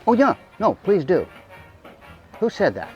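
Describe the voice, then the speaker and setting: A man's voice: high voice, huge pitch swings, unhurried, faint music behind. A man hosting with scripted, conversational narration addressed to the audience, recorded a little dull. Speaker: host introducing a radio comedy recreation.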